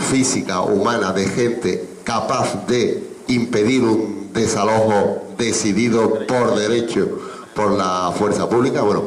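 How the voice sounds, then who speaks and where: A man's voice: on the low side, reverberant, poor audio. A man speaking at a public assembly in a formal, reasoned way, laying out his points in order.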